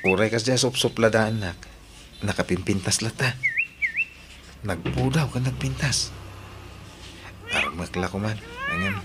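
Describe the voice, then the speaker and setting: A man's voice: on the low side, measured pace, pauses often, lightly acted. A man playing a humble, lovestruck young runaway in a radio drama, polite and pleading with an Ilocano accent. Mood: lovestruck musing. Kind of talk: Filipino radio drama acting. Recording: noisy radio drama recording.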